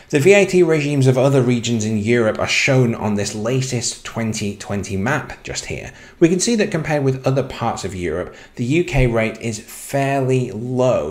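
A man narrating a scripted explainer as presenter, in a measured, announcing manner. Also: medium pitch.

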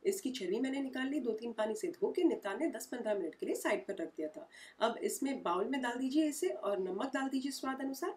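A woman's voice: a little high, darkish tone, distant mic. Woman with a lilt teaching cooking. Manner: homely step-by-step instructing. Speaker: cooking channel host. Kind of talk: home cooking tutorial. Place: home kitchen.

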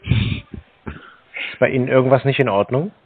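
Laconic deadpan man on a tinny phone. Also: mid-range voice, a little slow, breathy edge. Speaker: scambaiter taking scam phone calls. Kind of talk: terse phone conversation. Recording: narrow tinny phone-like sound.

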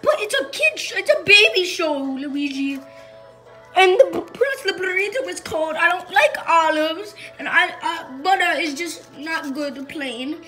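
A woman's voice: very high voice, animated, unhurried, fairly bright tone, soft background music, heavily acted, low-quality recording. A woman voicing a fussy, complaining middle-aged male plumber plush toy in a home kitchen, recorded on a handheld phone. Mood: whiny complaining. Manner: whiny, complaining.

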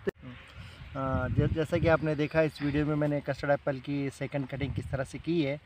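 A man's voice: on the high side, level tone, normal speed. A middle-aged man gives an informal, step-by-step farming demonstration, repeating himself as he shows each step. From India. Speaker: fruit farmer presenting a tutorial. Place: outdoors in an open farm field. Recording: clear outdoor background noise.

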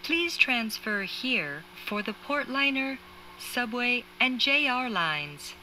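Woman announcer, very slow, formal, crisp forceful tone, scripted transit announcement. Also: fairly high pitch, big pitch swings. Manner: formal, measured. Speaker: automated train announcer. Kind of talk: scripted English train announcement. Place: train carriage speaker, train ambience behind. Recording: faint train noise, recorded inside carriage.

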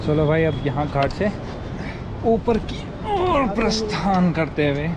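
Casting note: chatty young guy, high-ish voice, riding and narrating to camera, heavy wind and traffic noise. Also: a little slow. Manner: chatty, excited, addresses viewers as brothers. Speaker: cycling vlogger. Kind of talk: casual vlog narration while riding. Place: outdoors on a bicycle on roads and riverside. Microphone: action camera held at arm's length.

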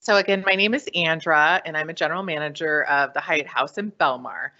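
A woman's voice: low voice, a little fast, bright, forceful tone. A woman hotel general manager speaking on a panel in a conference room, listing her duties with many fillers. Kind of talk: panel discussion. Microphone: handheld microphone. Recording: dull lo-fi sound.

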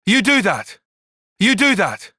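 A man's voice: high-pitched, wildly swooping pitch, takes it slowly, bright, forceful tone, breathy edge, no room sound, studio quality, heavily acted. An actor playing a brainy young male tactician in a video game, giving clipped, commanding battle call-outs with composed intensity. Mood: composed, focused.

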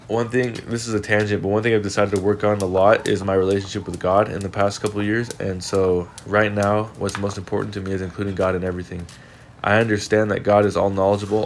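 A young man with a slightly low voice talks through a casual vlog voice-over. He sounds congested and sniffly. His delivery is earnest and reflective, and it wanders from thought to thought. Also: slight background noise. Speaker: fitness vlogger.

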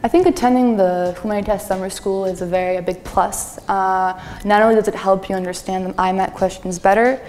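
A woman's voice: mid-range voice, fairly even intonation, a little fast, hardly any pauses, darkish tone, a little hiss or hum. Earnest young woman student. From United States. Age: young adult. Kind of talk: testimonial interview. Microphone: lapel. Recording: reverberant hall with light music.

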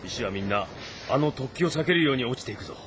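A man's voice: mid-range voice, expressive, fast, a bit bright, a little hiss or hum, close to an everyday voice. An actor playing an intent male warlord in dramatic audio drama style. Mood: intent, observant.

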